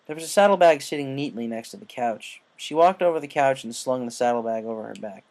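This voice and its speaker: A man's voice: medium pitch, quick pace, a little mellow. A man reading scripted fan fiction as a storybook narrator. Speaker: audiobook narrator.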